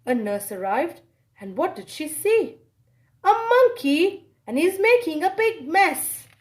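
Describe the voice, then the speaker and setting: A woman reads a children's story aloud with expressive, animated narration and dramatic pauses and exclamations. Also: extremely high pitch.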